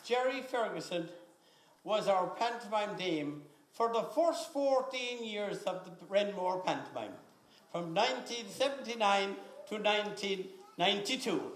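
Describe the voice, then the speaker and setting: A man's voice: sits high, expressive, measured pace. An Irish man presenting at a live event, calling out names with emphatic, ceremonial build-up. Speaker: presenter announcing award recipients at an event. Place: large echoey event hall. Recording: echoey hall PA, loud music and crowd. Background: loud music and crowd noise.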